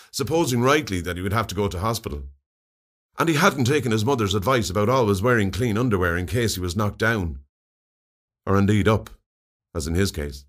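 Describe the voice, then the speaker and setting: An Irish man narrates a comic short story. His voice sits fairly low, but the intonation swings widely as he reads. The delivery is scripted, expressive and wry. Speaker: story reader.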